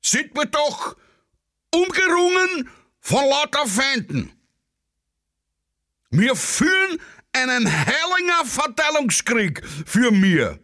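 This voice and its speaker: A bombastic, muddled Viennese patriot haranguing a street crowd. The voice is high and forceful, swooping theatrically through slow, declamatory phrases. It has the feel of a satirical radio play. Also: male voice, big pitch swings, full character voice. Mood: bombastic, fervent. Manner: declamatory, pompous. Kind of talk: rabble-rousing speech in satirical radio play.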